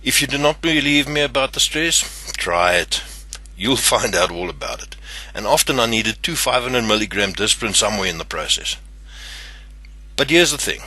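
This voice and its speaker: A man's voice: mid-range voice, animated, normal speed, sharp tone, husky, dry recording. An older man from South Africa with a South African English accent, hosting his own channel in a scripted, earnest and somewhat stiff delivery. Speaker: channel host. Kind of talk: scripted talk to camera.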